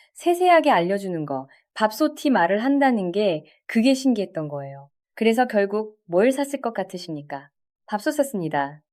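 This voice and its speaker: Woman narrator with a lively, varied pitch. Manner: conversational, addresses listeners with rhetorical questions. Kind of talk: scripted storytelling narration.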